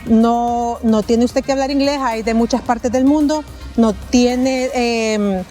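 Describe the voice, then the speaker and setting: A Salvadoran woman in her thirties speaks in a high, bright voice with a Salvadoran Spanish accent, telling her story in an earnest, unhurried way with frequent hesitations. Music plays clearly underneath the recording. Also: steady tone. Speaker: interviewee. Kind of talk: street interview, personal story. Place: outdoors on a city street.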